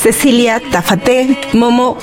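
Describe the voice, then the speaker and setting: A woman's voice: fairly high pitch, slow, over music. A woman with a Mexican accent interviewing guests in a polished radio broadcast style.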